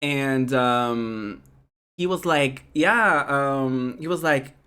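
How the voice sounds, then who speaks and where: A woman's voice: extremely low pitch, very slow, fairly bright tone, clean tone. Giggly Portuguese woman with American accent. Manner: giggly, rambling, says "like" and "um" a lot. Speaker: streamer. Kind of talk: casual chat with live viewers.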